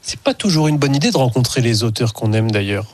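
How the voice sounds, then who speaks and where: A man's voice: mid-range voice, animated, fast, darkish tone, no room sound. A man hosting an interview, curious and prompting his guest with questions. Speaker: interviewer, show host.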